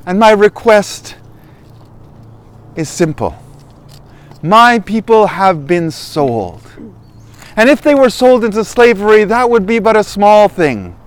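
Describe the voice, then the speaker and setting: A man voicing a humble, gentle queen in a Bible story, measured, earnest and deferential. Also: sits high, animated. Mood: solemn pleading. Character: gentle, humble, pleading young queen, adult woman.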